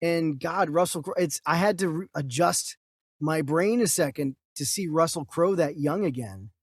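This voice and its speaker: A man's voice: on the high side, moderate pace, breathy edge, no echo, studio quality. A man in his thirties co-hosting a casual film review chat, enthusiastic and emphatic, rambling as he goes. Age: 30s. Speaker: podcast co-host.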